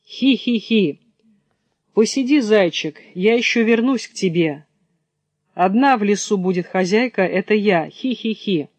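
A man's voice: high-pitched, lively intonation, normal speed, dry recording, pitched above the performer's own voice. A man voicing a sly, scheming female fox with a coaxing, sugary, giggly manner. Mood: gloating.

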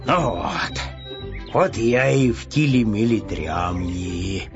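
A man's voice: fairly low pitch, expressive, very slow, vocal fry, highs cut off, over music, exaggerated voice. A man voicing a pompous, stubborn little bear cub in a storybook, speaking in a solemn, dry, self-important official manner. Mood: aloof, important.

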